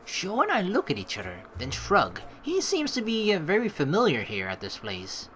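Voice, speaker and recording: A woman hosting a let's play, reading game narration aloud and adding casual, expressive, joking commentary. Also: fairly low pitch, normal speed, slight background noise. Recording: soft game music behind. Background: game music.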